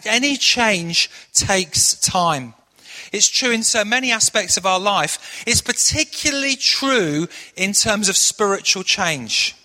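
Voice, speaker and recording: Bright-voiced preacher in echoing hall. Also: male voice, high voice. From Manchester, England. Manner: earnest storytelling preacher, shifts into hushed prayer. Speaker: pastor. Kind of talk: sermon. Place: church hall. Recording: long echoey hall reverb.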